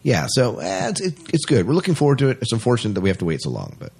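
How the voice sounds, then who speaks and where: A man with a very low, rather husky voice co-hosts a podcast. He moves between reading news items and casual chat, offering his opinions with open enthusiasm. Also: wildly swooping pitch, racing, a little mellow.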